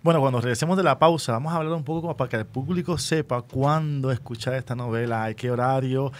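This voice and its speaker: A man's voice: mid-range voice, fast, slight background noise. Puerto Rican man hosting a radio interview.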